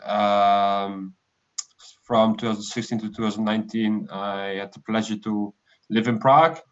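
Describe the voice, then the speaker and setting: A man in his late twenties from the Netherlands, a guest on a video call, talking in a relaxed, hesitant way with many fillers. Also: fairly low pitch, unhurried. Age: 20s. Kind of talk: casual interview chat. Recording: slightly dull lo-fi stream audio.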